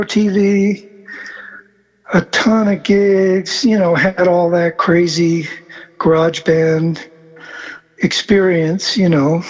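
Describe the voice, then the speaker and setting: A man in his seventies, a musician guest born in Argentina and raised across South America, telling stories in a relaxed, rambling way with many fillers. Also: high-pitched, dull audio. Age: 70s. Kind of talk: casual conversational interview.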